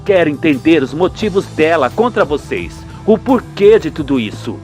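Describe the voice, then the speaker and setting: A man's voice: a little high, very animated, moderate pace, a little mellow, soft background music, voice pushed down. A man voicing a formal, probing Brazilian police investigator in a radio soap opera, composed and determined. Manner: official, probing.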